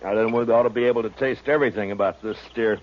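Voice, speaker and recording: An American actor playing a steady, dry-humored Old West marshal in measured 1950s radio drama style, a man of few words. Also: lowish voice, slight background noise, close to an everyday voice. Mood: wry, easygoing. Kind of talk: 1950s radio western acting and first-person narration. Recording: dull, lo-fi 1950s radio recording.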